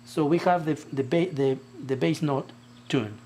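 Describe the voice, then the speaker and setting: A man's voice: fairly high pitch, unhurried, slight background noise. A man whose native language is Spanish, a quena player explaining eagerly in a documentary and often restarting words. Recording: distant mic with loud music behind.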